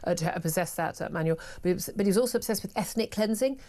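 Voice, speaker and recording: A woman's voice: medium pitch, fast talker, mellow. A woman hosting a talk radio show, talking in an emphatic, indignant way with many restarts. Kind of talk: opinionated talk radio discussion.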